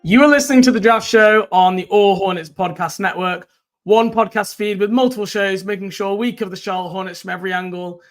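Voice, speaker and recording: A young English man hosting a sports podcast in casual chat, his voice croaky. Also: high-pitched. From England. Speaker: podcast host.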